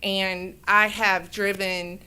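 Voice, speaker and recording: Loud, rambling woman at a podium. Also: mid-range voice, flat delivery, slow pace, clear, resonant tone. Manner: rambling, self-correcting. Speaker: resident giving public comment. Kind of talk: public comment at city meeting. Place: city council chamber. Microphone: podium microphone.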